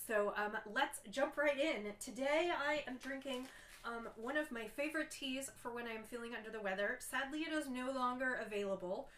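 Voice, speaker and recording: Woman, podcast host, stuffy nasal cold voice, chatty with ums, bright tone, echoey room. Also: on the high side. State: congested from a cold. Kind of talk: casual knitting video podcast chat.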